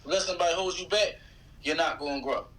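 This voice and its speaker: An American man talks casually as a guest on a podcast. His voice sits a little high and has a bright, forceful edge. He leans on slang and repeats his phrases to press a point. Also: fast talker, rough recording. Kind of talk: casual chat.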